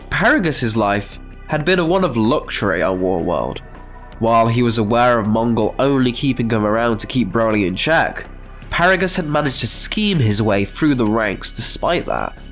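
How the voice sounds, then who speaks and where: A man reading scripted, dramatic story narration as the narrator. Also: mid-range voice.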